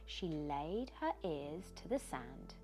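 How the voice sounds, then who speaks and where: A British woman reading a children's bedtime story aloud in an expressive, dramatic way, often dropping into hushed tones. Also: low-pitched, takes it slowly. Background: background music.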